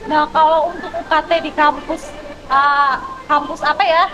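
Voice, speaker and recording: A woman's voice: high voice, fairly even intonation, normal speed, dull audio. A young woman, a student guest, explaining things casually in an interview. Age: young adult. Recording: clearly noisy background.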